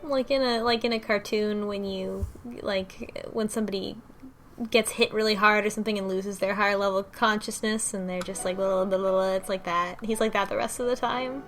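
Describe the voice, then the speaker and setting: A woman's voice: medium pitch, fairly even intonation, brisk, a bit bright, soft background music. A woman podcast host casually recapping a story in a loose, conversational way, as if thinking aloud. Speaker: book club podcast host.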